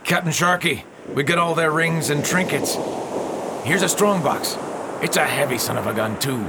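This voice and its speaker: An actor playing a rough pirate crewman with plain sailor talk in an audio drama. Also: normal speed, natural voice. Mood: reporting. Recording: very noisy audio with heavy rumble.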